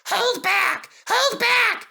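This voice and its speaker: A man's voice: extremely high pitch, drawn-out words, gravelly, pushed and bright, pitched above the performer's own voice, full character voice. A man voicing a gang of giggling, jeering freight cars in a storybook reading.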